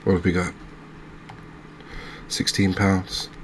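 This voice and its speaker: A man with a low voice and an extremely flat, even intonation gives quiet gameplay commentary. He muses unhurriedly, pausing as he searches for words. A faint layer of ambient noise sits behind him. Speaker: host of a gaming channel playing a fishing game.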